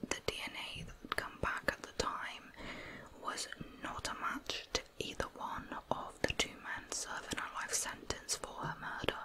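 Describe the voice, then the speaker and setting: A woman narrating in an ASMR style, entirely in a whisper, telling a story in a measured, matter-of-fact way. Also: rough recording. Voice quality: whispered, unvoiced. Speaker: ASMR true crime storyteller.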